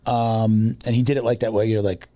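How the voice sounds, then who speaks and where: A man's voice: lowish voice, little pitch movement, fairly quick, warm tone, no room sound, clean studio sound. A man in his fifties, a podcast co-host chatting casually, rambling with many fillers and restarts. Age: 50s. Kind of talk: casual chat.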